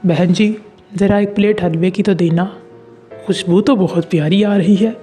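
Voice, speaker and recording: A man voicing working men in a story, with a husky voice and scripted dialogue. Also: high voice, fairly even intonation, normal speed, mellow, faint music behind, lightly acted. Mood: friendly, approving. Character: several story men: labourer, boss, husband, officer.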